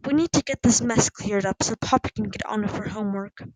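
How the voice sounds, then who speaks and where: A woman voicing a mild middle-aged father in a storybook, gently placating and only feebly authoritative. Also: high voice, very animated, normal speed, no room sound, low-quality recording. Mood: calming.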